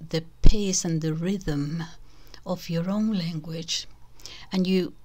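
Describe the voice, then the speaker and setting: Slow, low Spanish-accented older woman. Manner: discursive, restarts and self-corrections, soft whispery asides. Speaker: channel host. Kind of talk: casual spoken reflection to an online audience.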